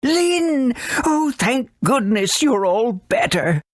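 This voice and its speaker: A man voicing a fussy, caring elderly owl with a raspy voice and theatrical delivery in a video game. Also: extremely high pitch, sing-song, takes it slowly, no room sound, clean studio sound, exaggerated voice. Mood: concerned. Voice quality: raspy, creaky.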